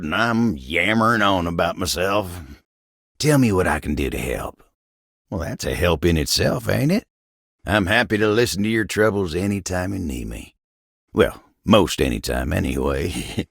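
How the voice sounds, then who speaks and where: Game role: earnest, kindly country feller, gravel-voiced, unhurried American Southern delivery, recorded very dry. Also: male voice, low voice, a bit bright, dry recording, exaggerated voice. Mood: apologetic. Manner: folksy drawl, humble and earnest. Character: gentle, folksy living table, cowboy-like helper. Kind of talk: video game character voice acting.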